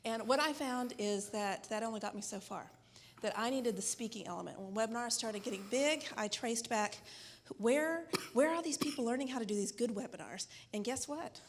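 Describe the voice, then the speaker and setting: A woman's voice: on the high side, moderate pace, slight background noise. A middle-aged woman from Texas introducing herself chattily as a guest speaker on stage. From Texas, United States. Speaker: guest speaker, internet marketer. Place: stage at a filmed live event.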